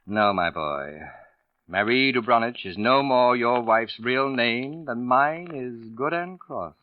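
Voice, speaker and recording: An actor playing a suave, condescending writer and sleuth in a 1940s radio drama, speaking with pompous, theatrical precision. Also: male voice, mid-range voice, expressive, takes it slowly, no room sound. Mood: superior, revealing. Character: suave, condescending male writer turned shrewd accusing sleuth. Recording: dull vintage radio recording.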